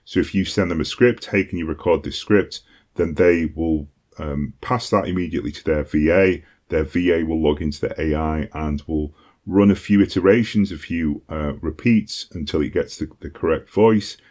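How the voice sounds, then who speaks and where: Deep, low British male instructor, casual and chatty, lots of ums. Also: normal speed, darkish tone. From Britain. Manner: conversational, frequent ums and "okay?" check-ins. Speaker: online course instructor. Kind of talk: casual tutorial explanation.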